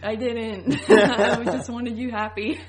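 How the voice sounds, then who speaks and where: A woman's voice: fairly high pitch, slow pace. Giggly woman chatting on a podcast. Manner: giggly, animated storytelling, informal. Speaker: podcast co-host. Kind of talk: casual chat recapping a TV series.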